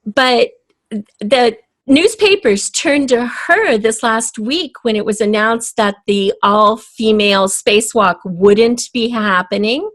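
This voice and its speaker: A woman's voice: a little high, slow pace, fairly bright tone, no room sound. A woman talking as a guest in a conversational interview, explaining her ideas thoughtfully. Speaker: podcast guest, audio drama writer.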